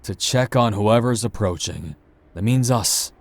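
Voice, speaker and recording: Man playing a low-voiced, streetwise sci-fi guide. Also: measured pace, noisy background. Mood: terse, assured.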